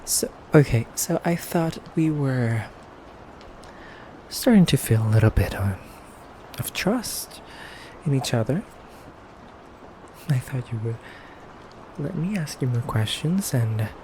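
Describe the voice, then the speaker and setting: A man playing an aloof, condescending male vampire in an ASMR roleplay, measured and halting, often dropping toward a breathy whisper. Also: mid-range voice, expressive, warm tone, a little hiss or hum, poor audio. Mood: coolly reproachful. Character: aloof, condescending, elegant femboy vampire interrogator. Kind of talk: slow, soft ASMR roleplay monologue.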